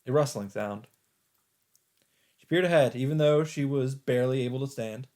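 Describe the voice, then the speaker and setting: A man's voice: mid-range voice, normal speed, darkish tone. A man hosting a let's play, reading a visual novel's narration aloud in a dramatic storytelling style. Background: game music.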